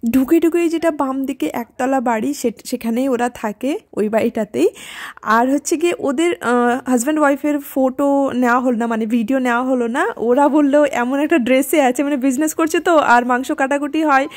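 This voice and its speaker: High-voiced chatty vlogger woman. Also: normal speed. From India. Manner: chatty, rambling, with hesitant fillers. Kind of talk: casual vlog narration.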